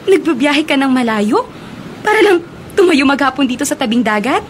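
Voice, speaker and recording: Radio drama ingenue: young Filipino student, sweet and friendly, higher voice. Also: female voice, lively intonation, moderate pace, a little hiss or hum. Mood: friendly, curious. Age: young adult. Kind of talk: Tagalog radio drama acting.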